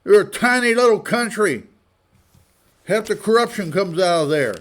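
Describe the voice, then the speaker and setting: A man's voice: high-pitched, expressive, normal speed, a bit bright. A man giving informal, opinionated news commentary in a blunt, folksy, indignant manner, often throwing out rhetorical questions. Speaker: online commentator.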